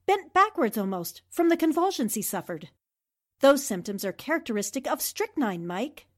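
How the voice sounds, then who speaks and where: A fairly high, animated female voice from an actress as a sharp newspaper reporter in a 1940s radio mystery. She sounds self-assured and composed, explaining what she observes. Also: normal speed. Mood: confident, explaining. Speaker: reporter investigating a murder. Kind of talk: 1940s radio drama acting.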